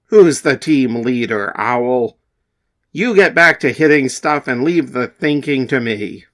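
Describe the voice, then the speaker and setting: A man's voice: mid-range voice, expressive, slow, a bit bright. A man voicing a bossy, condescending comic strip hero in a dramatic reading.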